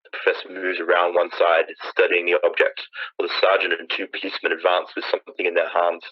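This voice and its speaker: Man playing husky, deep-voiced radio field reporter. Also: monotone, fast talker. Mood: tense, watchful. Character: male field radio reporter, eyewitness, increasingly panicked. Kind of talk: 1930s radio drama acting, live eyewitness commentary. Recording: muffled vintage-style radio sound.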